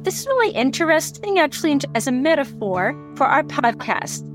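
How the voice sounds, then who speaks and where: A woman's voice: sits high, sing-song, fairly quick, over music. A woman from the United States hosting a podcast in a casual, curious and reflective conversational way. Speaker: podcast host.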